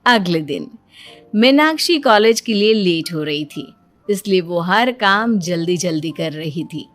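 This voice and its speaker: A woman's voice: on the low side, animated, unhurried. A woman narrating a scripted cartoon family story as a storyteller. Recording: background music under the voice.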